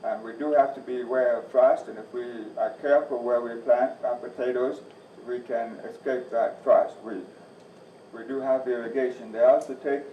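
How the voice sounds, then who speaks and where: A man's voice: medium pitch, slight background noise. Folksy man in his 60s, echoey room. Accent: softened Midwestern American. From Northeast Iowa, USA. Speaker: agriculture teacher and farm advisor. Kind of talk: presentation to a church audience. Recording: distant, echoey, muffled room recording.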